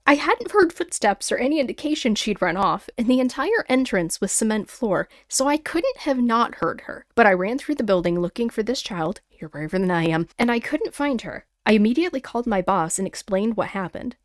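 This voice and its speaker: A woman podcast host reading listeners' stories aloud in a casual, conversational way with animated personal asides. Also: fairly high pitch, a little fast, few pauses, dry recording, studio quality. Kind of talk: casual chatty storytelling with read-aloud emails and asides.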